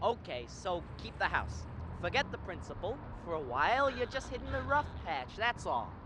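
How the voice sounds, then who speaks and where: A young actor playing a restless, kind-hearted man in a radio drama, halting and wry in delivery. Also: mid-range voice, sing-song, lightly acted. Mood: kindly, encouraging. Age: young adult. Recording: faint background noise.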